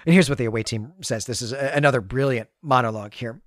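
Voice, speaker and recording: Man, podcast host, medium pitch, analytical, enthusiastic, repeats words, literary discussion, dry close recording. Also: fast talker, no echo.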